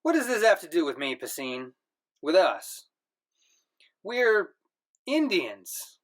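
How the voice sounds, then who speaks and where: A man reading aloud who voices a stern, bewildered middle-aged Indian father with brusque, puzzled questions, pitched a little higher than his narration. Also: sing-song, takes it slowly, full character voice. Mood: dismissive.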